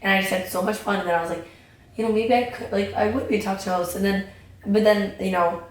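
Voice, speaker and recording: Young woman rambling, likes, ums, flat delivery, big echoey room, mic far off. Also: mid-range voice, fast talker. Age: young adult. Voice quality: raspy. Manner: chatty, many fillers and restarts. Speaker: podcast guest. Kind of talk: casual chat. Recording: echoey room, distant mic, faint noise.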